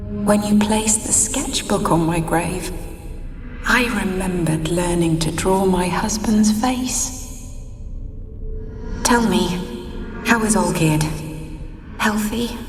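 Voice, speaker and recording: A sorrowful ghost bride. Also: female voice, fairly low pitch. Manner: slow, wistful, hushed. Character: sorrowful young noblewoman's ghost. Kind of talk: video game cutscene voice acting. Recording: echoey, distant, with music.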